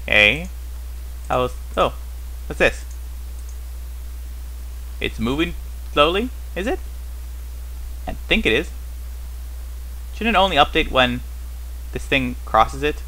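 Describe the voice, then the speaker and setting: A man's voice: a little high, big pitch swings, slow, pauses often, bright, forceful tone, rough recording. A man giving casual, unscripted gameplay commentary as host, rambling and thinking aloud with wry, puzzled questions to himself. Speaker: host of a gaming series.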